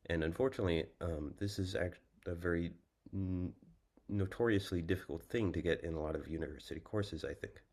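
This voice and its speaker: A young man with an American accent gives a symposium talk about his study-abroad project. His voice sits extremely low. He speaks in a measured, careful way and fills pauses with ums. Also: darkish tone. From United States. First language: English. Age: young adult. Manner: measured, thoughtful, with frequent ums. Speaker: student presenter. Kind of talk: prepared symposium presentation.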